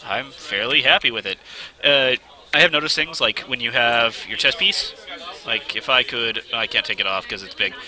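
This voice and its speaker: Male game streamer, sniffly with a cold, chatty and excitable, bright edgy voice. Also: medium pitch. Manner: excitable, talks to himself while playing. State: has a slight cold, sniffling. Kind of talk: live let's play commentary. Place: game studio event.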